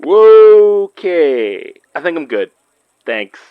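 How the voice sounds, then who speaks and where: A man's voice: fairly high pitch, very animated, drawn-out words, smooth, no room sound, narrow, tinny audio, exaggerated voice. A man playing a wry, casual investigator in a supernatural audio drama.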